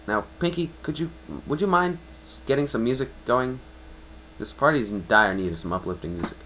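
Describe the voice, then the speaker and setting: A man's voice: medium pitch, fairly quick, sharp tone, a little hiss or hum, voice pushed up, close to an everyday voice, rough recording. Man playing a friendly, easygoing young stallion. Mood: upbeat, reassuring. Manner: friendly, reassuring. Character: easygoing, considerate young male pegasus pony.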